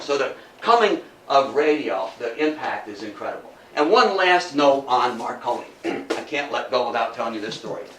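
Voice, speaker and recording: Older man lecturing in an echoey room. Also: fairly high pitch. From Athens, New York, United States. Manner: animated, emphatic, repeats phrases, rhetorical questions. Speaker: local history lecturer. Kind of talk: informal public lecture with storytelling. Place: indoor talk before a small audience. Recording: echoey room, distant mic, faint noise.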